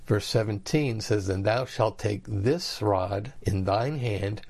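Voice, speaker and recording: A man's voice: fairly low pitch, slow pace, gravelly, darkish tone. A man reading scripture aloud and explaining it in plain, everyday words as a Bible teacher. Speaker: preacher.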